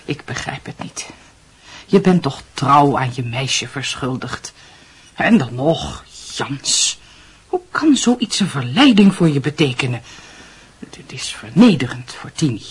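Very husky, meddling aunt. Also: female voice, extremely low pitch, big pitch swings, a little slow, exaggerated voice. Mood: reproachful. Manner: hesitant, moralising, insinuating. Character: meddling middle-aged aunt, earnestly and tactfully reproachful. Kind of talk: radio drama acting.

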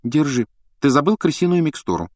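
Man putting on a bossy, high-pitched young girl. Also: big pitch swings, fairly quick, no room sound, clean studio sound. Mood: brisk. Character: bossy, brisk thirteen-year-old girl.

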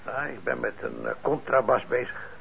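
Gravelly, kindly old craftsman. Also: mid-range voice, moderate pace, a bit bright. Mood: mild, busy. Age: older. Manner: hesitant, mild, fatherly. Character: kindly, weary older male instrument repairer. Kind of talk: Dutch radio play acting. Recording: muffled, noisy old radio recording.

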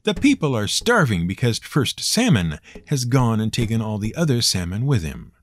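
A man's voice: on the low side, sing-song, normal speed. A man voicing a bossy, scornful First Woman in a storybook myth.

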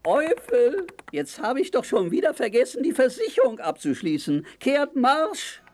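A man's voice: very high voice, huge pitch swings, a little slow, heavily acted. A man playing a feisty, boastful cartoon sailor in a comic children's radio play, exclaiming and thinking aloud to himself. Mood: fretful, resolute.

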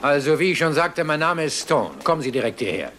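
A man dubbing a police lieutenant in a brisk, authoritative way. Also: fairly high pitch, lively intonation, a bit bright, a little hiss or hum. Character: gruff, businesslike male police lieutenant.

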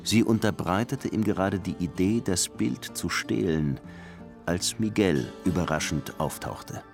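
An actor playing a somber police detective who narrates a murder case in a measured, matter-of-fact crime radio drama style. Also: male voice, low-pitched, creaky. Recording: music under the voice.

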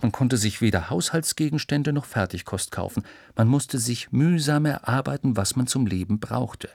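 A man's voice: medium pitch, animated, normal speed, creaky, a little mellow, no room sound, studio quality. A man reading scripted educational narration for children, explaining in a storytelling way with rhetorical questions.

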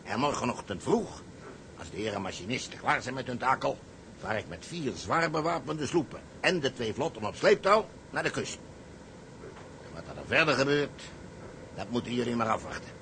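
A cocksure salvage captain in a radio drama, speaking at a middle pitch. He is theatrical and commanding, a schemer sure of himself, with faint rumble behind him. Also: big pitch swings, moderate pace, sharp tone. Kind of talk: Dutch radio play acting.

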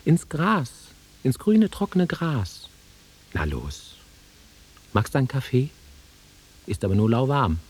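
An actor playing a nostalgic, easily offended middle-aged man with a husky voice in a naturalistic radio drama. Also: mid-range voice, huge pitch swings, slow, long pauses, darkish tone, exaggerated voice. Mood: insistent. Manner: wistful, insistent, easily offended. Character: nostalgic, touchy middle-aged man revisiting his childhood fields.

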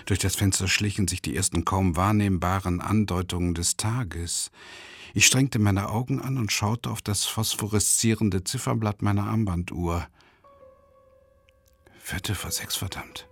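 An actor playing a tormented, self-doubting man who narrates a radio drama monologue in a brooding, intense way, with a gravelly, husky voice. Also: fairly low pitch, unhurried, soft background music. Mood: brooding, tense. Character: tormented, self-doubting, impoverished male travelling lecturer. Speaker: first-person narrator.

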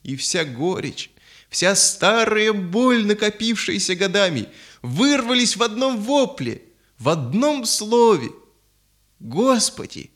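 A man narrating a children's audio fairy tale in a solemn, expressive, literary manner. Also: high voice, takes it slowly. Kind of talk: dramatic scripted storytelling, audio fairy tale. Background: music.

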